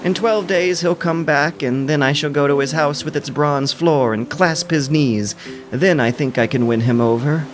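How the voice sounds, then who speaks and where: A man voicing a grieving sea goddess mother, lamenting tenderly in a drawn-out audio drama delivery. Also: fairly high pitch, soft background music, voice pushed up, close to an everyday voice. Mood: tender, resolved.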